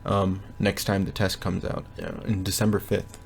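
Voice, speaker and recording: A young man with a low, gravelly voice talks through a casual progress update from his bedroom. He rambles and hesitates, stopping often to think aloud, with faint noise behind him. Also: monotone, moderate pace. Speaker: language learner vlogger. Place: bedroom at home.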